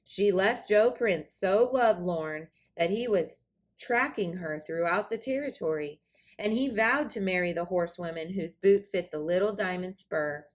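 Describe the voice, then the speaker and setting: A woman narrating a children's storybook aloud in an expressive read-aloud style. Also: fairly low pitch, moderate pace. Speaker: storybook reader.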